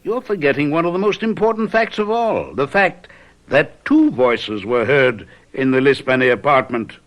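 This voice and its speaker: Man acting an urbane detective, expressively pitched voice. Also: animated, a bit bright, close to an everyday voice. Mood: assured, sly. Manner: deliberate, emphatic, urbane. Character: confident, theatrical male detective lecturing his companions. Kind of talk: radio mystery drama acting.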